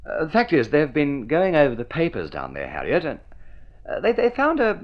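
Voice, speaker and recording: A muffled old radio drama recording carries a well-to-do young man, kindly and hopelessly awkward. He hesitates and puts himself down, his pitch swinging dramatically from phrase to phrase. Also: wildly swooping pitch, moderate pace. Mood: hesitant. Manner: hesitant, stammering, self-deprecating. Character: awkward, lovesick, kindly rich young gentleman. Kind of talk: mid-century radio drama acting.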